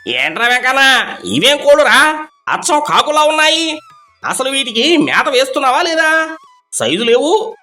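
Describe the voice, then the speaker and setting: A man voicing a cunning, dismissive city poultry broker who haggles scornfully in an animated moral story. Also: very high-pitched, moderate pace, long pauses, soft background music, exaggerated voice.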